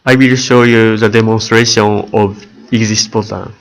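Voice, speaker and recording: A man giving a prepared student presentation on software, speaking halting, non-native English in a deliberate way. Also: lowish voice, fairly even intonation.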